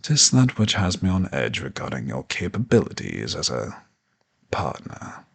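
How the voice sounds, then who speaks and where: A very low, husky dragon, played by a man, lecturing as a haughty old professor in an audio roleplay. His delivery is pompous and ornately formal, swinging widely in pitch with condescending grandeur. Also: very animated, a little slow, full character voice. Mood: condescending, softening. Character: haughty ancient dragon professor, male, condescending yet flirtatious. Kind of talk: scripted audio roleplay monologue addressed to the listener.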